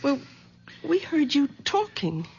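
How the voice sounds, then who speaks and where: An actress playing a worried, caring middle-aged daughter in a 1970s radio drama, speaking gently and pleading. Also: female voice, high-pitched, sing-song, slow pace, full character voice, low-quality recording.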